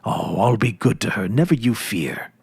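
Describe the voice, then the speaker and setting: A man's voice: lowish voice, animated, normal speed, gravelly, a little mellow, breathy edge, no room sound, full character voice. A man voicing a confident, eager ten-year-old farm boy in a storybook, earnest and emphatic, with a boastful know-it-all manner.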